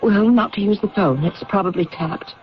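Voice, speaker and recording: A woman's voice: mid-range voice, normal speed, slight background noise, natural voice. A woman acting in a vintage radio drama as an anxious, determined figure in a spy mystery, earnest and intense. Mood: frightened. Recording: muffled old radio broadcast.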